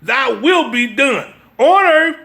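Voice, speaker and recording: A man's voice: extremely high pitch, lively intonation, slow pace, creaky, bright, forceful tone. An American man giving an impromptu sermon as a religious teacher, emphatic and exhortative, often checking that listeners understand. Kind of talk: impromptu sermon with brief reading.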